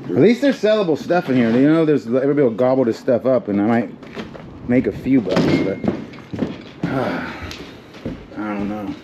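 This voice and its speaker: A man's voice: medium pitch, animated, moderate pace, long pauses, lo-fi sound. Middle-aged man, chatty and teasing, outdoor camera audio, background noise. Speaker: storage unit reseller and vlogger. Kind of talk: casual running commentary to camera. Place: outdoors at a shipping container in a storage lot. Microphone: action camera worn on the body.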